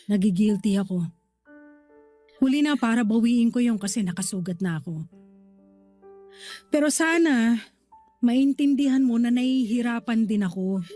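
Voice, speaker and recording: An actress playing a protective, guilt-ridden older sister in a radio drama, speaking earnestly and pleadingly. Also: female voice, high-pitched, darkish tone, lightly acted. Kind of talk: Tagalog radio drama acting.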